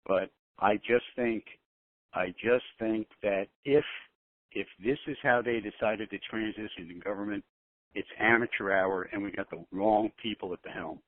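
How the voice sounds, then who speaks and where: Guy on a conference call, lowish voice, keeps repeating himself, pushy point-making, phone sound. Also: dry recording. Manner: insistent, halting, repeats phrases. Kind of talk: casual discussion, airing opinions. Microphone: telephone. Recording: lo-fi, tinny phone line.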